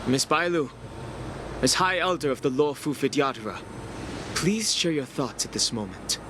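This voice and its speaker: An actor playing a stern, principled young spearman in a game cutscene, solemn and measured. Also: medium pitch, animated, background noise, natural voice. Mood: formal. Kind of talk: video game cutscene acting.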